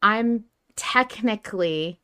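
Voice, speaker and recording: A woman's voice: mid-range voice, slow, pushed and bright, smooth. A woman hosting a casual fan discussion podcast, talking in a chatty, opinionated way. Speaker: podcast host.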